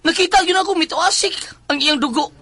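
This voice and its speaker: Very high, excitable nosy companion. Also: male voice, brisk, heavily acted. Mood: insistent, curious. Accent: Filipino. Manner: exclamatory, insistent questioning. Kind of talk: Filipino horror audio drama acting.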